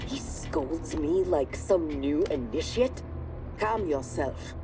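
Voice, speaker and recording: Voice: medium pitch, lively intonation, far from the mic, music in the background, poor audio. An actor playing a stern, disciplined clan warrior who speaks formally. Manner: formal, measured. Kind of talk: video game cutscene acting.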